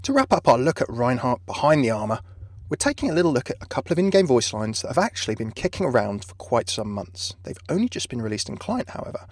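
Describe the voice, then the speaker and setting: A man's voice: medium pitch, sing-song, quick pace, no echo. A man hosting a video with scripted narration, recorded as a close voice-over. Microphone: close dry voice-over microphone.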